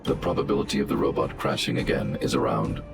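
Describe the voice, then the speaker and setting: Very deep, gravelly stoic robot guardian. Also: male voice, steady tone, exaggerated voice. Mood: grave, clinical. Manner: measured, formal, emotionless machine-like delivery. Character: huge stoic protective robot guardian, calm and logical. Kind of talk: scripted video game dialogue. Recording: game music behind.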